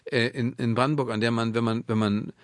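A man in the role of interviewer, speaking at a middling pitch with a dry, close sound. He circles into his questions, often repeating and restarting words in a conversational way. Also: fairly even intonation, fairly quick, dry recording.

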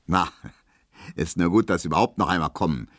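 A man's voice: sits low, a little fast, no echo, studio quality. A man voicing a choleric middle-aged male gambler who bellows and grumbles in exasperation. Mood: choleric bellowing.

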